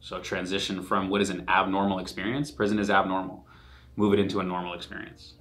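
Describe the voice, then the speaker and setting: A program manager, a man, explains his work at a quick clip. His voice is very deep, bright and crisp, and he keeps his pitch flat. Faint music plays underneath. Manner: brisk, explanatory. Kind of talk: documentary interview.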